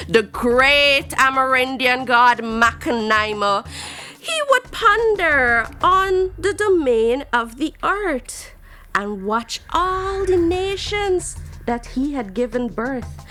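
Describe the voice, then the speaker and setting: A woman with a Guyanese accent hosting a children's storytime, speaking in a theatrical, exclamatory way with drawn-out emphasis. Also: sits high, pushed and bright.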